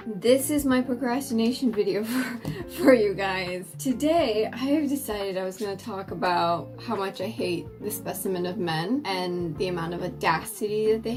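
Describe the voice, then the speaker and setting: Young woman from the states, raspy morning voice, chatty and swears a lot, music playing underneath. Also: medium pitch. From United States. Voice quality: raspy from just waking up. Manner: chatty, swears, acts out quotes in character. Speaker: vlogger. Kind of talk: casual storytime while doing makeup.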